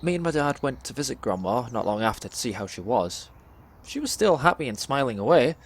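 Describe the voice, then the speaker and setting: A young man performs a dramatic spoken word monologue in a measured, deliberate storytelling way, pausing between phrases. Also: medium pitch, big pitch swings, slight background noise. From England. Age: young adult.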